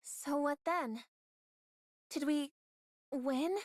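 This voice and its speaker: Game girl fighter: tiny high voice, emotive, easily fretful, excitable, dry recording. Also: very slow, no room sound. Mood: overwhelmed joy. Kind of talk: English game cutscene dub acting.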